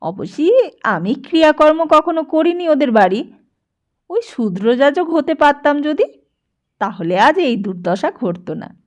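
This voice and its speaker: A woman's voice: high-pitched, sing-song, a little slow, darkish tone, pitched above the performer's own voice. A woman voicing a garrulous, nosy old male village priest in an audiobook, rambling familiarly from question to question. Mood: rambling, gossipy. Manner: rambling, chatty, question after question. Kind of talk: dramatised audiobook dialogue.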